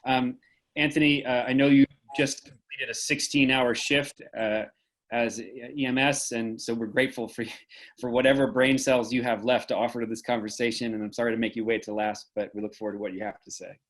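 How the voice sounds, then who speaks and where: Soft-spoken man moderating a panel. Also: medium pitch, flat delivery, a little fast. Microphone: video call.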